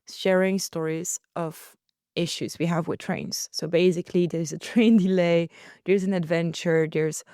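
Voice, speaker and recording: Relaxed Belgian woman podcasting from home. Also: fairly low pitch, clean tone, no echo, studio quality. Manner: relaxed, chatty, laughs easily. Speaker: podcast host. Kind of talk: casual solo podcast chat. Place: living room at home.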